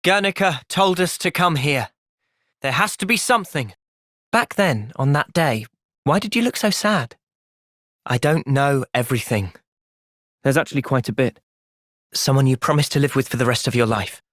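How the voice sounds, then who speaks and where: A man's voice: mid-range voice, animated, normal speed, pauses often, a bit bright, no echo, clean studio sound, natural voice. A man playing an earnest, thoughtful young soldier hero with a British accent in a video game. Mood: gentle, reflective. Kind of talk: video game cutscene voice acting.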